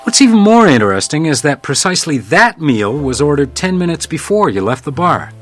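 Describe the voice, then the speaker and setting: An actor playing a composed, world-weary hotel house detective in a noir radio drama, wry and probing. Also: male voice, on the high side, sing-song, fairly quick. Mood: wry, pressing. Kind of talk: radio drama acting with first-person noir narration.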